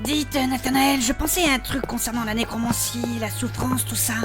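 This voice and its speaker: A woman acts a spirited young adventuress with a very high voice. She is expressive, scolding yet caring, in a comic fantasy audio drama with prominent music behind her. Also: normal speed, natural voice. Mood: pensive.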